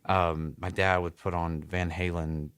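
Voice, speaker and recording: Low-voiced laid-back Southern musician. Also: male voice, a little slow, clear, resonant tone, dry recording. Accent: American South. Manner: relaxed storytelling, laughs often. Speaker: musician guest. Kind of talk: casual interview. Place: music studio.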